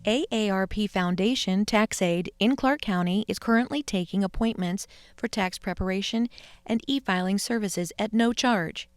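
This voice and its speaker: A woman's voice: mid-range voice, normal speed, no room sound, soft background music. American woman reading dry-studio radio announcements. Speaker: sponsor announcer.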